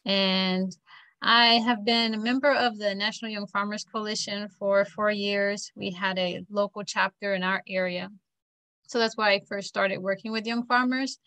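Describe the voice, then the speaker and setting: A woman farmer in her thirties walks listeners through her work in a steady, plain-spoken way. Her voice is bright and clear, picked up dry and close by a laptop webcam on a video call. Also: mid-range voice, moderate pace, no echo, rough recording. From Florida, US. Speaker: farmer and technical assistant.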